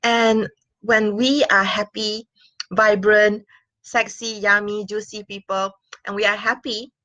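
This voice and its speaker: A woman's voice: medium pitch, a bit bright, no echo, poor audio. A woman, talking earnestly to a webcam in a small room, with many fillers and little laughs. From Singapore. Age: 40s. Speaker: sex and intimacy coach. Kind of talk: casual talking-head vlog.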